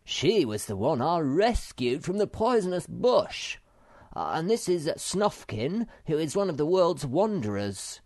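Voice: mid-range voice, big pitch swings, measured pace, a little mellow, voice pushed up. A reader voicing Moomin Troll, an eager young troll who boasts and chatters. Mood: boastful.

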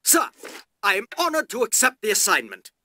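A man playing an eager, ambitious knight officer in a video game, formal and crisply deferential. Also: sits high, wildly swooping pitch, moderate pace, bright, forceful tone, a little hiss or hum, full character voice. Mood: eager, proud. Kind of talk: video game cutscene acting.

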